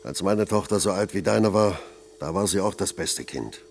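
An actor playing a blunt, patronising middle-aged factory foreman with a gravelly voice in a German radio play. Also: low-pitched, animated, moderate pace, a little hiss or hum. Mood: regretful, paternal. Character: gruff paternal older factory foreman.

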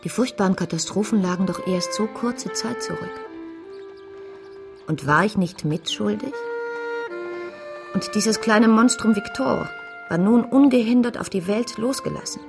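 A woman's voice: mid-range voice, measured pace, vocal fry, pauses often, over music. A reflective woman telling her story. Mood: relieved, wondering. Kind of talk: first-person radio play narration.

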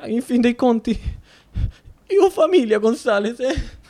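A man's voice: extremely high pitch, animated, unhurried, darkish tone. An actor playing an anxious, self-pitying, cowardly male judge in a radio drama, rambling in agitation with questioning interjections. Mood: anxious, desperate. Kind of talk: stage-style radio drama acting.

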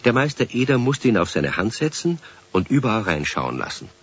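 A man narrating a scripted children's story for radio as its storyteller, in a husky voice. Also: mid-range voice, very animated, a little fast, a bit bright. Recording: dull vintage radio recording.

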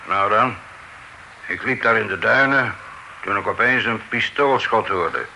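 Witness, gravelly, sharp bright tone, faint hiss. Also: male voice, on the low side, measured pace, heavily acted, low-quality recording. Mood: testifying. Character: sly witness giving his account. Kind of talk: old radio play acting.

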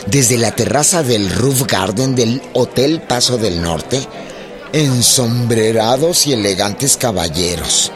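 A man's voice: mid-range voice, lively intonation, slow pace, a crowd in the background. A man narrating a scripted historical radio drama in a solemn, literary storytelling manner. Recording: music behind the voice.

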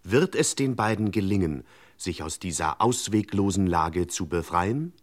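Serial narrator, very low pitch, pompous, suspenseful, vintage radio announcing. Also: male voice, expressive, measured pace. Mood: mock suspense. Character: pompous male cliffhanger narrator of a crime serial.

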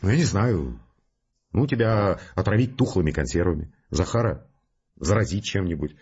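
An actor playing a rattled, skeptical scientist in a Russian audio drama, grumbling in wry, colloquial, reluctant speech. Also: male voice, low voice, animated, normal speed, a little mellow, muffled recording, a little hiss or hum. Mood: nervous reasoning.

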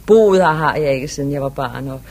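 An actress playing a gracious, excitable touring singer in a 1950s radio drama, theatrical and a little hesitant. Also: female voice, heavily acted. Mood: reflective. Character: gracious, excitable touring female singing star. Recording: old radio recording with rumbling noise.

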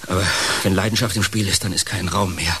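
Radio play: a brooding, heartbroken ex-star, gravelly and low, halting dramatic lines. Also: male voice, fairly even intonation, sharp tone. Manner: halting, dramatic, emotionally laden delivery. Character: bitter, heartbroken fallen entertainer, male, wounded and brooding. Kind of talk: German radio play dialogue. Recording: clear background hiss.

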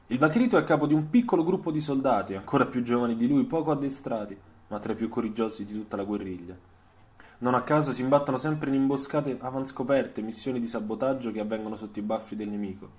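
A man's voice: mid-range voice, quick pace. Man narrating a dramatic literary story. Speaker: storyteller, author reading own radio story. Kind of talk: scripted literary radio narration.

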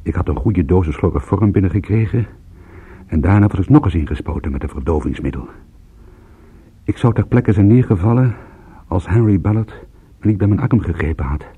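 An actor playing a weary, suspicious private detective in a radio drama, narrating and talking in a dry, wry, understated manner. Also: male voice, low voice, lively intonation, fairly quick, slightly rough, phone-quality sound, exaggerated voice. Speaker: detective and first-person narrator.

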